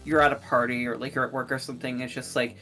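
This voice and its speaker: A woman in casual stream chat, blunt and crude with quick asides. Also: rumbling low, flat delivery, fairly bright tone, faint music behind. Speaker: streamer guest.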